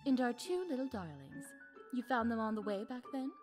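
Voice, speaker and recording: A woman's voice: fairly high pitch, lively intonation, fairly quick, lightly acted. A woman playing a sly, worldly society widow in a visual novel, with arch and knowing phrasing. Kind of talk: voiced visual novel dialogue. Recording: music behind the voice. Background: game music.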